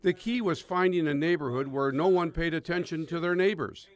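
A man narrating a local news segment in an even, measured reporting style. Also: a little high.

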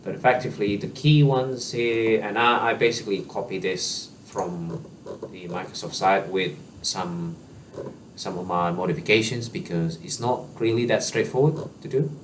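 Male tutorial presenter, 30s, low register, relaxed explainer with many ums and restarts, small room, slightly distant mic. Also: normal speed, a little hiss or hum. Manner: casual explaining with frequent fillers and restarts. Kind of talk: screen-share software walkthrough. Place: small indoor room at a desk.

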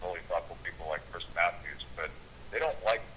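Low-voiced man on a call, earnest. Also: a little fast, bright, forceful tone, slight background noise, low-quality recording. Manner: earnest, repeats words when starting phrases. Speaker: conference call speaker, political activist leader. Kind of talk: conference call talk.

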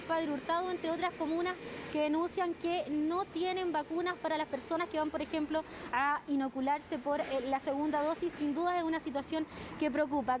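A woman's voice: high-pitched, noisy background. A Chilean woman reporting live from a vaccination center, speaking steadily with some fillers. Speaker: field reporter.

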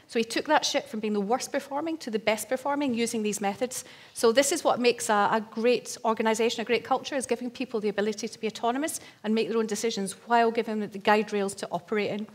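A middle-aged woman giving a conference talk and answering questions on a large stage, telling stories with lots of fillers. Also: on the high side. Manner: lively storytelling with laughs and fillers. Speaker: conference speaker. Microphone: stage microphone at lectern.

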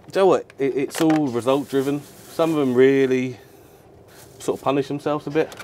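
Over clear background noise, a man in his thirties talks at a middling pitch. He is a chef explaining his job in an informal, matter-of-fact way, as if chatting casually to camera. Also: steady tone, normal speed, darkish tone. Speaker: head chef at a football club. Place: indoors, club kitchen and dressing room.

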